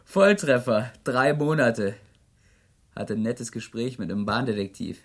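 A man's voice: medium pitch, unhurried. A man acting an evasive, cocky drifter accused of murder in a German radio drama, terse and mock-polite. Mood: flippant, evasive.

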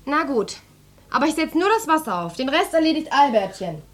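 A teenage daughter in radio drama, in a fairly high voice. She is snippy and put-upon, with a sulky, sarcastic, sharp-tongued delivery. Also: sing-song, a little fast. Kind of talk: German radio play acting.

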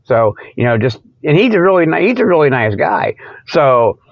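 High-pitched man rambling in folksy radio style. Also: very animated, moderate pace, vocal fry, no echo, lo-fi sound. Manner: folksy, colloquial, repetitive, amused by own jokes. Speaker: host of a comic Bigfoot report show. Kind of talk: rambling improvised comic monologue.

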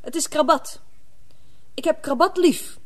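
Brave young girl in love, radio play, high lively voice, close and dry. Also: a little slow, dry recording. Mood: pleading, determined. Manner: earnest, resolute. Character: brave, loving village girl, a young singer. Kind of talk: radio drama acting.

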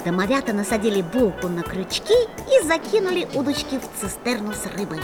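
A woman narrating a children's fairy-tale audio play in an expressive storybook style. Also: medium pitch, a little fast, loud music behind the voice.

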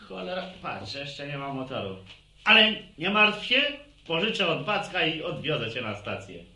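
A man's voice: a little high, big pitch swings, moderate pace, sharp tone, heavily acted. An actor playing a brash, chummy young village man who speaks in slangy, familiar radio drama dialogue. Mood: chummy, eager. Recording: echoey radio play, some noise.

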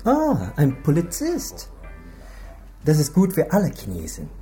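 A man's voice: fairly high pitch, huge pitch swings, a little slow, mellow, soft background music. An actor playing a polite, composed male crime boss in a radio crime drama. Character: smooth, formal male new crime boss.

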